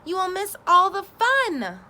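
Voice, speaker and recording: An eager male frog from a children's storybook calls out in a high, loud voice, as a woman reads him aloud. The tone is bright and lively, and he races along. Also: measured pace, heavily acted. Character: eager cheerful male frog, excited friend.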